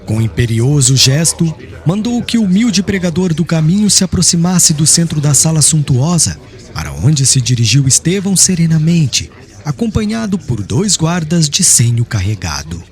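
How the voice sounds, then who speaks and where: A man's voice: a little high, very animated. A man narrating an audiobook in a solemn, formal, dramatic literary style. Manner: solemn, formal, measured phrasing. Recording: clear music bed under voice.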